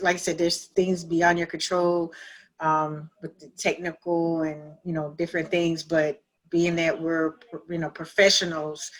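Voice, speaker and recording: A woman with a lowish, bright voice gives an unhurried, reflective answer. She talks casually and haltingly, as an actor on an online panel. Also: rough recording. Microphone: video call.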